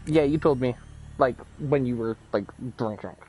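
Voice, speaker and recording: A man's voice: mid-range voice, moderate pace, dark tone, a little hiss or hum, low-quality recording. A man streaming a game and chatting casually with friends, often stumbling and repeating his words. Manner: stammers and repeats words, lots of fillers. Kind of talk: casual live-stream chat with party friends.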